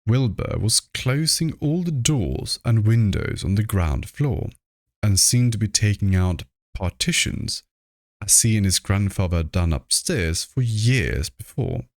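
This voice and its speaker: A man reading classic horror fiction aloud as scripted narration, in a measured, deliberate manner, close to the microphone. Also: on the low side, animated, dry recording. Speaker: audiobook reader.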